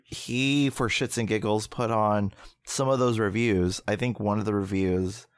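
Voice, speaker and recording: A man with a bassy voice hosting a podcast, telling stories in a loose, jokey way with some swearing. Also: fairly even intonation, measured pace, dry recording, clean studio sound. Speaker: podcast host. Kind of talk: casual chat.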